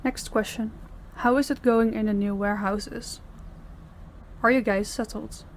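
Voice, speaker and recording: A woman's voice: fairly high pitch, steady tone, unhurried, a little hiss or hum, lightly acted. Woman acting as a commanding female crime boss. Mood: businesslike. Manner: commanding, businesslike. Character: stern female crime boss running her department heads. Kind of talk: scripted audio roleplay acting.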